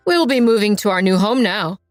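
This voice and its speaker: A woman's voice: medium pitch, animated, a little fast, dry recording, soft background music, close to an everyday voice. A woman voicing a smug, callous adult man, a cheating boyfriend in a narrated story.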